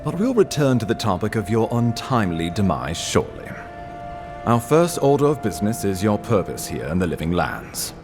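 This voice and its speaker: An actor playing a grave, courtly imperial ambassador in a video game, speaking formally and deliberately. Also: male voice, fairly low pitch, big pitch swings, over music. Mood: composed, formal. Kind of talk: scripted video game dialogue.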